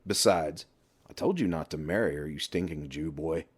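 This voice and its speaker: A man's voice: a bass voice, moderate pace, slightly rough. A man voicing a brash middle-aged best friend who lectures and needles bluntly in an audiobook. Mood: goading. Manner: blunt, emphatic, sweary banter.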